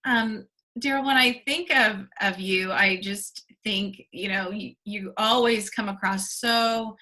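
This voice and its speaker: Bright-voiced woman interviewer, effusive. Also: medium pitch, fairly even intonation, slow. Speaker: interviewer, host. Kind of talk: conversational interview.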